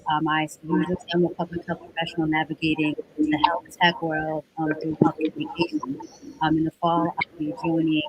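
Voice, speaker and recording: A woman's voice: sits low, little pitch movement, a little fast, a crowd in the background, rough recording. A woman introducing herself as a public health professional, hesitant and full of fillers. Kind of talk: self-introduction on a webinar panel.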